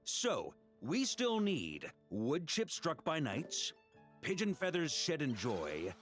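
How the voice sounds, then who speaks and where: An actor playing an easygoing, boastful veteran knight commander in a video game, telling tales with theatrical self-assurance. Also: male voice, on the high side, huge pitch swings, slow pace, fairly bright tone, exaggerated voice. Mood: jovial, persuasive. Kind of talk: English video game voice acting. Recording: game music behind the voice. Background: game music.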